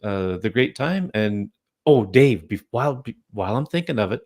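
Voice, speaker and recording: A man's voice: lowish voice, expressive, moderate pace, darkish tone. A man with a Canadian accent hosting a podcast, chatting casually in a friendly, rambling way with many restarts. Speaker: podcast host.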